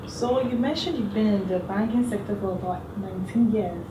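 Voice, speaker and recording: A woman's voice: mid-range voice, normal speed. Woman hosting an interview. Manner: brief, encouraging replies. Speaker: interview host. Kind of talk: interview, asking questions. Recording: echoey room, mic at a distance, noisy.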